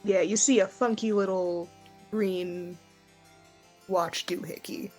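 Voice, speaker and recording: A woman's voice: mid-range voice, steady tone, slow pace, a little mellow, husky, soft background music. A woman casually narrating a tabletop role-playing game as game master.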